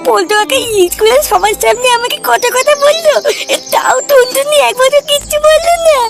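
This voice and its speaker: Squeaky, sulky little cartoon schoolboy. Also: male voice, moderate pace, gravelly. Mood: hurt, sulky. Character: aggrieved, sulky little schoolboy, lovelorn and stubborn. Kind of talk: Bengali comedy cartoon dub. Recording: music behind the voice.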